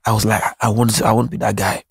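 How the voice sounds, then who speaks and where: Earnest Nigerian man, steady flat delivery. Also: on the low side, rapid-fire, gravelly, breathy edge, no echo, studio quality. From Nigeria (Lagos). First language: Yoruba. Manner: earnest, reflective, frequent restarts. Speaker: musician guest. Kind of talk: candid interview conversation.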